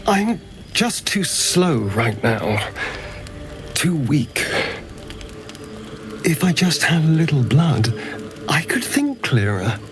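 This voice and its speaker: An actor playing a suave male vampire in a video game, breathy and theatrical, with hesitant, pleading delivery. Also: a little high, very animated, slow, long pauses, music in the background, exaggerated voice. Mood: earnest pleading.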